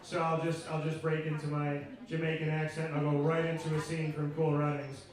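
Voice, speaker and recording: A man hosting a casual live on-stage interview, joking and encouraging in an animated way. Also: a little high, a little fast. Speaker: show host. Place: pub stage, in front of an audience. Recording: echoey pub, distant mic, crowd chatter.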